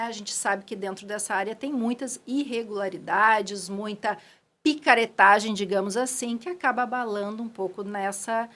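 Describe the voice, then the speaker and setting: A middle-aged woman with a Gaúcho accent talks as a podcast guest. Her voice sits a bit high, and she chats loosely, restarting sentences and tagging them with little asides. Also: fairly bright tone. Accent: Gaúcho Brazilian Portuguese. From Rio Grande do Sul, Brazil. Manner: chatty, with frequent restarts and "né" tags. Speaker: guest, clinic owner. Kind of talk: casual interview talk.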